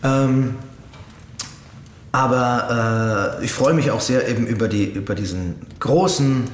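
A man giving reflective interview answers as a voice actor, halting and hesitant as he searches for words. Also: medium pitch. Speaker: interview guest, audio drama voice actor. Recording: roomy echo with faint background noise.

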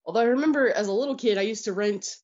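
A woman's voice: on the high side, very fast, a little mellow. Guest: American woman, eager storyteller, easy laugh, slightly dull lo-fi line. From United States. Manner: enthusiastic, storytelling, laughs easily. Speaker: podcast guest. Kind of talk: casual interview chat.

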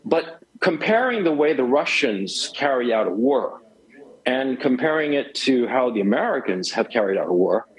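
Man from Chile, forties, emphatic and rambling, stumbles and restarts, middle pitch, cell phone call. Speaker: guest. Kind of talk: phone interview.

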